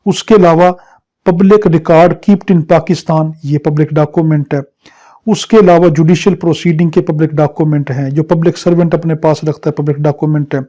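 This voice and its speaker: A man's voice: on the high side, steady tone, a little fast, warm tone. A man with a Pakistani accent teaching a law crash course, explaining point by point with everyday examples and questions he answers himself. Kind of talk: exam crash-course lecture, explaining article by article.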